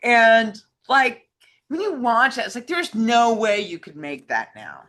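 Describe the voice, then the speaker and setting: A woman's voice: on the high side, expressive, unhurried, fairly bright tone. A woman co-hosting a casual conversation and reading out questions from fans. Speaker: co-host reading fan questions.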